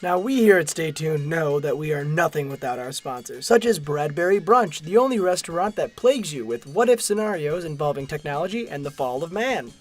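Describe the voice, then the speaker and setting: A man's voice: on the high side, lively intonation, brisk, few pauses, faint music behind. A man reading scripted credits and mock sponsor spots for a radio comedy show. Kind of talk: scripted announcer narration.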